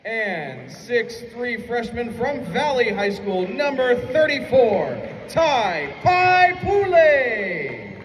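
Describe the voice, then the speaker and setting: A man's voice: extremely high pitch, sing-song, drawn-out words, a faint crowd, a little hiss or hum. A man making formal basketball announcements over a gym PA in a projected showman's delivery. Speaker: public address announcer. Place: indoor college basketball gym. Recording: echoey PA in a gym, distant mic.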